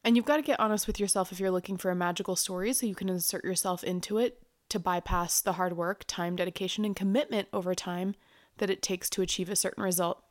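A woman co-hosting a podcast in casual chat, sounding nasal and stuffy from a cold as she explains things in a steady, reflective way. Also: fairly low pitch, fast talker. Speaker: podcast co-host.

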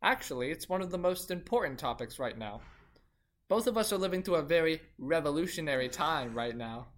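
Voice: mid-range voice, animated, brisk, close to an everyday voice. A performer playing an earnest young male French colonist who hosts a history roleplay podcast, a bit awkward and fond of puns. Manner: earnest, slightly awkward, laughs at own jokes. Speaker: podcast host. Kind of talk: amateur scripted roleplay.

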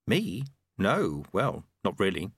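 A man's voice: sits low, huge pitch swings, slow, slightly rough, dry recording, exaggerated voice. A man voicing a naive, earnest and unsure male character in an audiobook, speaking hesitantly.

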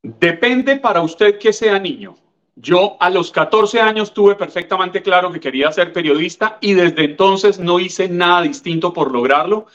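Man, 50s, Colombian accent, articulate, measured, slightly high voice, muffled lo-fi sound. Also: animated, fairly bright tone. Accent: Colombian, from Tolima. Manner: articulate, measured, formal address. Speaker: guest journalist. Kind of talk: reflective interview answers.

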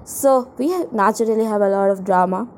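A woman's voice: medium pitch, a little fast, darkish tone, a little hiss or hum. A young adult woman with an Indian accent, co-hosting a podcast in a casual, rambling way, with a self-mocking, dramatic, irreverent manner. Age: young adult, college student. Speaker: podcast co-host.

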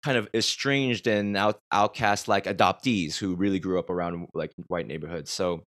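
A man's voice: low-pitched, steady tone, normal speed, a bit bright, no echo, clean studio sound. An American man hosting a podcast, talking reflectively and rambling a bit with restarts. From United States. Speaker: podcast host. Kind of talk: conversational podcast interview.

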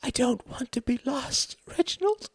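A man's voice: very high voice, expressive, very slow, breathy edge, no room sound, voice pushed up, heavily acted. A man voicing a wounded, desperate young wife, pleading and bitter in an emotional audiobook drama. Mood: tearful, pleading.

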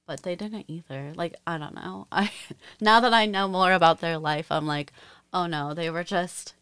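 A young woman co-hosting a podcast, speaking softly and hesitantly, often trailing off. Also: on the low side, normal speed, clear, resonant tone, dry recording. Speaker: podcast co-host. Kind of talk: casual chat.

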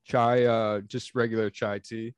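A young man co-hosting a casual sports podcast, easygoing and halting, with plenty of fillers and restarts. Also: on the low side, level tone, clean tone, no room sound, muffled recording. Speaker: podcast co-host.

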